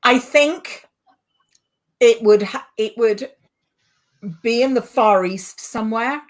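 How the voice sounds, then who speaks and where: A woman's voice: fairly high pitch, takes it slowly. A woman with a British accent talking casually and thoughtfully as a podcast guest, a little hesitant. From born in Borneo, moved to the UK. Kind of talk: casual chat.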